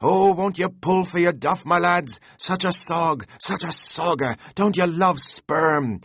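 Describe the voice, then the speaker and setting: A man voicing an excitable young male whaling mate who pleads shrilly with his crew. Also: high-pitched, measured pace, dry recording, clean studio sound, voice pushed up, heavily acted. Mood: frantic.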